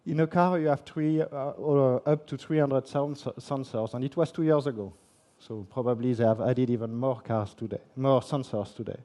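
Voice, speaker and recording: A man speaking English with a French accent, presenting at a tech conference. His voice sits at an ordinary pitch with a dark, mellow tone, and he pauses often with fillers and restarts. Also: fairly even intonation. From France. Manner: halting, many fillers and restarts. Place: conference hall.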